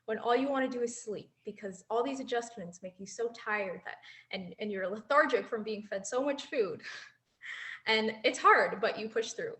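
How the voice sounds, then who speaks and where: A woman's voice: a little high, normal speed, pushed and bright. A woman in her twenties giving an earnest, reflective prepared speech as a former exchange student, laughing lightly now and then. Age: 20s. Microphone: handheld mic.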